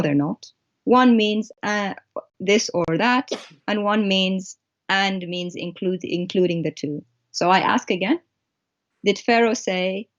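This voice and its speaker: A woman whose first language is Arabic, a guest explaining text on screen in a halting way with many pauses. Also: medium pitch, muffled recording. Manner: halting, pointing things out.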